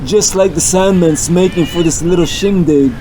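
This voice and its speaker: A man's voice: high voice, normal speed, dark tone, a little hiss or hum, voice pushed down, close to an everyday voice, rough recording. A man voicing a mocking male water-creature mercenary who trades insults.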